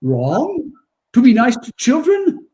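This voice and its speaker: A man acting a kindly, merry old Santa Claus in a 1940s-style radio play, with gentle, courtly manners. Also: very high voice, wildly swooping pitch, takes it slowly, heavily acted. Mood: concerned, friendly.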